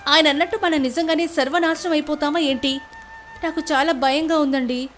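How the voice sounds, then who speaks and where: A woman's voice: sits high, steady tone, normal speed, a bit bright, soft background music. A woman playing the anxious, plaintive wife of a poor food seller in a dramatized moral story. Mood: frightened. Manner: plaintive, fretful, questioning.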